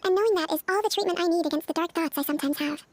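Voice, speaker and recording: A woman playing a gentle, thoughtful young dream therapist in a fantasy game, speaking in a measured, soothing, quietly playful way. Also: very high-pitched, faint music behind. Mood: reflective, earnest. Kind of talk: animated fantasy game voice acting.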